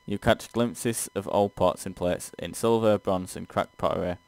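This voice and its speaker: Male gaming co-host, low voice, dry close sound; stumbles through read-aloud text, swears casually in exasperation. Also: steady tone, moderate pace, creaky, dry recording. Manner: stumbling reader, profane asides, exasperated. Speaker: gaming show co-host playing and reading aloud. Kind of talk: casual let's play, reading game text aloud.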